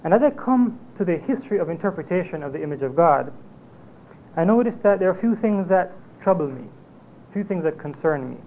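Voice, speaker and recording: A man with a Jamaican accent presenting an academic paper at a conference, in a measured lecturing manner with occasional hesitations. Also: sits high, lively intonation. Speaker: conference speaker. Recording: tinny phone-like lo-fi recording with faint hiss.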